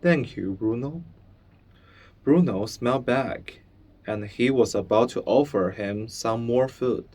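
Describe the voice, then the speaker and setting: Young man, storybook narrator, unhurried and slightly halting, medium pitch. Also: a little mellow. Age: young adult. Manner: halting, deliberate reading with stumbles. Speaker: student reader. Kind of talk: storybook read-aloud narration.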